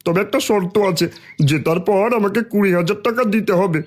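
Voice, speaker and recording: A man's voice: high voice, brisk. A man playing a sly, greedy village schemer in a cartoon fairy tale. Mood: conniving.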